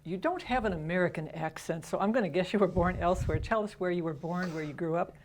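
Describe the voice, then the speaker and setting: A woman's voice: lowish voice, fast. A woman hosting a community television interview, prompting her guest with conversational questions. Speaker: interview host.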